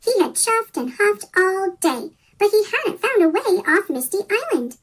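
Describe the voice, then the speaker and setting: A children's story reader with a pitch-shifted chipmunk voice, reading a picture book aloud from a script. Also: big pitch swings, unhurried, fairly bright tone. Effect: sped-up chipmunk pitch shift.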